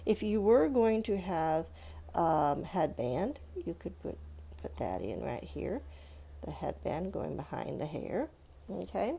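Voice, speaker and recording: Woman, children's art teacher: low, very mellow voice with some gravel, informal, encouraging, talks through each step. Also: sing-song, takes it slowly. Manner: encouraging, thinking aloud, addressing young viewers with "let's" and check-ins. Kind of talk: step-by-step drawing lesson, informal and conversational.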